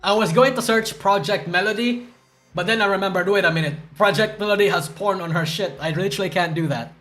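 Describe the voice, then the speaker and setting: A man's voice: sits high, brisk, fairly bright tone. A young man streaming live, rambling and swearing as he reacts to news, groggy from a hangover and stuttering. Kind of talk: casual livestream chat reacting to news.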